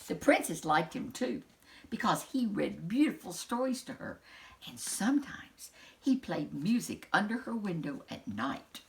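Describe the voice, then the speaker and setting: A woman reading a children's story aloud in an expressive storytelling style, with dramatic emphasis and casual asides. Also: fairly low pitch, a little slow, gravelly.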